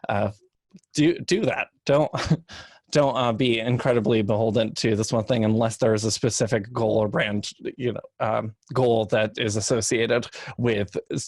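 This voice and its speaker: Man, medium pitch, dry recording, casual panelist, informal, explanatory, halting rephrasing. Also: no echo. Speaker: expert panelist. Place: online video call.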